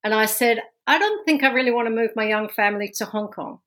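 A fairly high-voiced woman with a London accent talks through her own story as a podcast guest. She talks casually, restarting her sentences often and laughing now and then. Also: fast talker, fairly bright tone. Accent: London English. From London, England. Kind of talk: casual interview talk.

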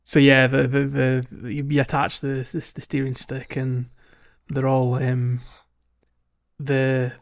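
A man's voice of middling pitch on a slightly dull, lo-fi recording. He is a podcast co-host chatting casually about video games, halting often as he thinks out loud. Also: completely flat delivery, gravelly. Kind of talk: casual video game discussion.

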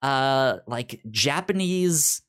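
A man's voice: mid-range voice, lively intonation, drawn-out words, a bit bright, clear, resonant tone. Stuttering man hosting a casual podcast. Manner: stutters, repeating sounds and syllables. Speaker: podcast host.

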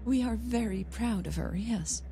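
Woman acting mellow, polite society lady. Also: on the high side, unhurried, voice pushed up. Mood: politely gushing.

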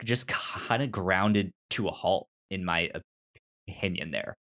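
A man discussing a film casually as a co-host, halting and repeating words as he thinks aloud. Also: sits low, a bit bright. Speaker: movie podcast co-host.

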